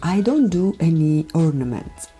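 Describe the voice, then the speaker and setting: A woman from Romania with a Romanian accent, speaking a gentle, careful voiceover for her makeup tutorial, often dropping near a whisper. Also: low-pitched, sing-song, measured pace. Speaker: beauty vlogger. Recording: voiceover with background music.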